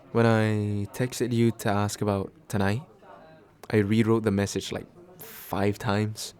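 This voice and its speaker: A man playing a tender, nervous, attentive date in a soft, intimate ASMR roleplay, halting and gently self-deprecating with small stammers. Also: mid-range voice, natural voice. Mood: sheepish, playful. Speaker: voice actor in an audio roleplay.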